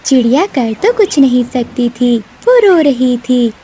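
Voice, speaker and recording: A woman's voice: sits high, sing-song, unhurried, mellow, husky. A woman narrating a scripted children's cartoon story.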